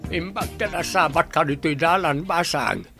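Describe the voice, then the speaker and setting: A man's voice: fairly high pitch, moderate pace, creaky, music in the background. A man playing a worried, questioning elderly grandfather in an audio drama, with an Ilocano Filipino accent. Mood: conversational. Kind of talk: amateur audio drama acting.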